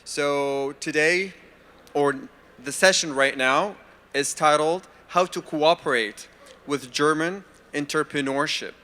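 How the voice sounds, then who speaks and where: A man emceeing a conference, introducing guests in a slow, halting way. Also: fairly high pitch, bright, forceful tone. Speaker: event emcee. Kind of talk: stage announcement. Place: conference stage.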